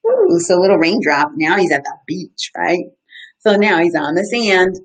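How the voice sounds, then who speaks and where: A woman in her thirties, an educator reading to children in an animated, questioning way and explaining as she goes. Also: on the low side, moderate pace. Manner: animated, asks the listeners simple questions. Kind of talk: interactive children's story time, reading and explaining.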